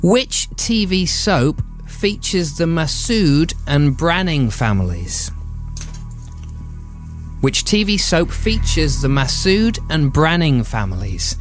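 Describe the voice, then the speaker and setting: A man's voice: fairly high pitch, very animated. Man hosting a radio quiz over music. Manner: playful, laughs often, reads options slowly. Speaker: radio host running a quiz.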